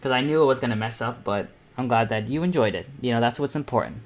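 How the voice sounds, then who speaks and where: A young man hosting an online art panel, chatting casually and excitably as he draws, thinking aloud. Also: medium pitch, fast. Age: teens or young adult. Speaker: host of an online painting panel. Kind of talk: casual chat while drawing live.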